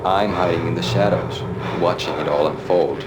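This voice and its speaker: An actor voicing a weary cop narrating in a flat, deadpan, hard-boiled noir delivery. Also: male voice, low-pitched, moderate pace, lightly acted. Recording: loud game music and noise behind.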